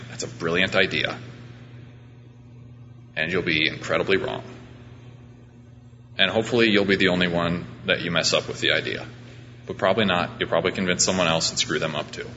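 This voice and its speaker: Male pastor, low forceful voice, conversational and self-effacing sermon delivery, recorded in a big echoing space. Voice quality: deep voice. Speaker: pastor preaching at a church. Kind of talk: informal sermon. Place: large reverberant church room during a service. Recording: reverberant hall, muffled, background hum.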